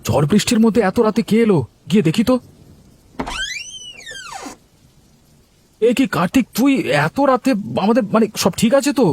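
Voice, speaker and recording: A man voicing a henpecked, excitable village husband in a cartoon, flustered and suspicious. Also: sits high, fast, a little hiss or hum. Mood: startled. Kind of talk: cartoon voice acting.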